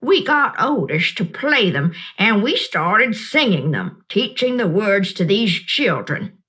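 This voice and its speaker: Warm old storyteller, unhurried drawl, storybook reading, voiced by a woman. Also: medium pitch, big pitch swings, heavily acted. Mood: folksy. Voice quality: slow, drawling, folksy. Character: old Southern woman, kindly, wise storyteller.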